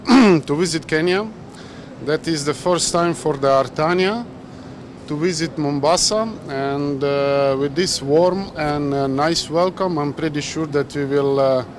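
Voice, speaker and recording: Ship captain with a slightly high voice. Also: male voice, a little hiss or hum. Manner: halting, with frequent pauses and fillers. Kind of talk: press interview. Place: outdoors on a port pier. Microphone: bunch of handheld press mics.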